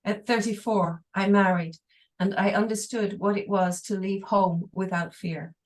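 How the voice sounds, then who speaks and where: A woman with a mid-pitched voice reads a translation line by line. Her delivery is steady and careful, with brief pauses. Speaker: interpreter. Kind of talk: reading an English translation aloud.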